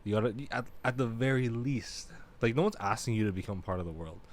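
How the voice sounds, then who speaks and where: A man's voice: mid-range voice, fast talker. A young man co-hosting a casual podcast, talking in a laid-back, slangy way and swearing freely. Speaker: podcast co-host. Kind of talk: casual chat.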